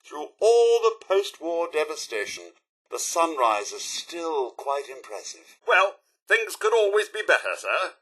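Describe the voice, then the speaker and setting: A man's voice: fairly high pitch, very animated, a little slow, a bit bright, husky, full character voice, rough recording. A man voicing a fussy, devoted robot butler in a video game, speaking with a British accent in a prim, formal, theatrical way. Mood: musing, then wistful, disappointed.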